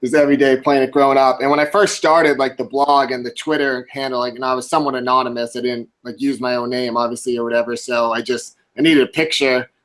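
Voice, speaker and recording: A man's voice: mid-range voice, flat delivery, fast talker, hardly any pauses, a bit bright, low-quality recording. A man in his twenties, a blogger guesting on a podcast, talking casually, laughing easily and saying "like" a lot. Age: 20s. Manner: chatty, laughs easily, lots of "like".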